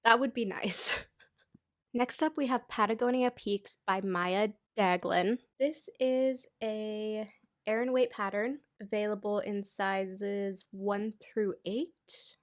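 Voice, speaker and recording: A stuffy-nosed young American woman talks in a loose, chatty way, full of "like" and wry jokes at her own expense. Her voice sits at an ordinary middle pitch and is recorded close and dry, with no room sound around it. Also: fairly even intonation, slow, no echo. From United States. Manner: chatty, self-deprecating, lots of "like". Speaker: knitting YouTuber. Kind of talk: casual chatty pattern roundup.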